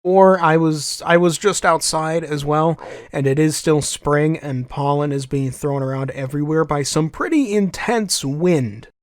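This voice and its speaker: A young man with a slightly higher voice hosts his own podcast, talking casually to listeners. His nose is stuffy from a cold, and he rambles, repeating phrases and correcting himself as he goes. The sound is dry and close on a home microphone. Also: measured pace, no echo. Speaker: podcast host. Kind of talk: solo casual podcast chat.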